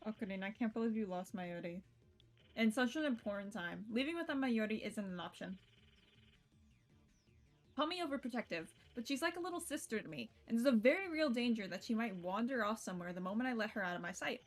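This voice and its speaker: A woman streamer reading a visual novel aloud and adding casual, playful, expressive commentary. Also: fairly high pitch, brisk, faint music behind. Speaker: game streamer.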